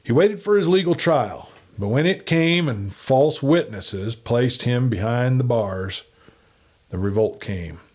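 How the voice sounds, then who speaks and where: A man reading a western novel aloud as an audiobook narrator, in an expressive, dramatic storyteller's manner. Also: medium pitch, takes it slowly.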